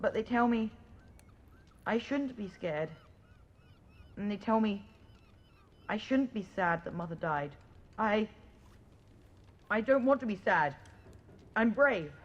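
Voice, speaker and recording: A woman's voice: medium pitch, animated, slow, long pauses, muffled recording. A woman playing a scared but stubborn small orphaned village boy in a video game, defiant and pleading in a childlike way. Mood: upset, stubborn. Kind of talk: video game dialogue acting.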